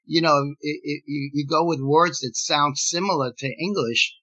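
Older man, sixties, native Spanish speaker, bright tense tone, meandering and full of fillers, dull lo-fi recording. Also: fairly high pitch, steady tone, moderate pace. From Gibraltar. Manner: rambling, many fillers and restarts, laughs while telling stories. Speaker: playwright. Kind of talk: casual podcast interview. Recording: dull lo-fi sound.

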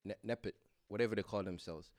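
A young man co-hosting a casual podcast, talking fast and teasing, laughing often. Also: on the low side, fairly even intonation, darkish tone. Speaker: DJ and podcast co-host.

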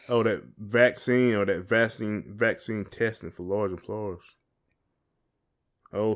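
A man with a fairly low voice co-hosts a casual podcast. He talks in a rambling way, circling back and repeating phrases as he thinks out loud. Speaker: podcast co-host. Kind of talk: casual conversational chat.

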